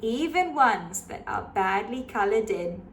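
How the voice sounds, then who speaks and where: A woman's voice: mid-range voice, animated, unhurried, roomy sound, a little hiss or hum, lightly acted. A woman voicing a brave, eager young male knight in a children's story. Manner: bold and eager.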